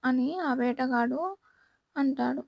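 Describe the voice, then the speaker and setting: Woman reading a kids story, high voice, bit raspy n creaky, very dry sound. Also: slow, no room sound, lo-fi sound. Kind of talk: scripted children's story narration.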